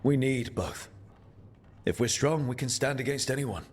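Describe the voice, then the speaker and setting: An actor playing a supportive, level-headed adventuring leader in a fantasy video game, sincere and understated. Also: male voice, medium pitch, a little slow, lightly acted. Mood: businesslike. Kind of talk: video game dialogue acting.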